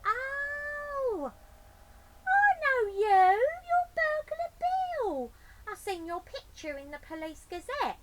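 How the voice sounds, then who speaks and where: Woman doing a cheeky burglar lady from a kids story, high pitched and swoopy, chatty, gushes over everything. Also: very animated, slow pace, very noisy, exaggerated voice. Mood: surprised recognition. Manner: chatty, gushing, exclamatory. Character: Burglar Betty, cheeky apologetic adult female burglar. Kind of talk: picture-book read-aloud character voice.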